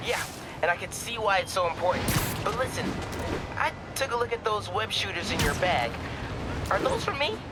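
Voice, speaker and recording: High-voiced, eager teenage boy. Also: very animated, normal speed. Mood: eager, sheepish. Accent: American. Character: eager, bright teenage boy science whiz, superhero trainee. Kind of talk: video game cutscene acting. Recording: loud music behind the voice.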